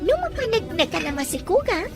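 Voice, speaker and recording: A woman's voice: very high-pitched, very animated, brisk, full character voice. A woman voicing a scheming young woman who teases and pries with sly questions, in an audio drama. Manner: teasing, prying questions with sly laughs. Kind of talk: Ilocano radio-style drama acting. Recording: faint music behind.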